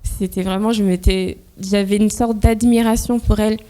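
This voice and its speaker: Hesitant soft-spoken woman from Guinea. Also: medium pitch, fairly even intonation, normal speed. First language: Fula. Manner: hesitant, many fillers and restarts. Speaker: interview guest, NGO founder. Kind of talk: casual interview conversation.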